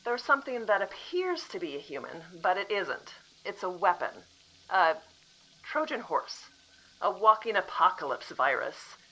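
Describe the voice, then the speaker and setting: A woman acting a composed female official from the future in an audio drama, calmly apologetic and matter-of-fact. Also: mid-range voice, measured pace, full character voice, poor audio.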